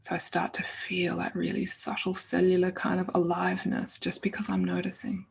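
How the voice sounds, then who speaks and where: A woman leading an informal guided meditation in a soothing, unhurried way, breathy and often trailing off toward a whisper. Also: on the low side, monotone, a little hiss or hum.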